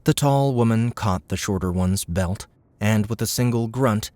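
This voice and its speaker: A man's voice: on the low side, unhurried, a little mellow, no echo. A man narrating scripted fiction as an audiobook narrator.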